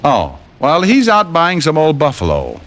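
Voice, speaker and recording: Wisecracking radio detective, lively delivery. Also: male voice, on the high side, measured pace. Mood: mocking, cool. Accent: American. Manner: glib, sarcastic, deadpan quips. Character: wisecracking, cocky male private detective. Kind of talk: 1950s American radio detective acting with first-person narration. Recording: noisy old-time radio recording.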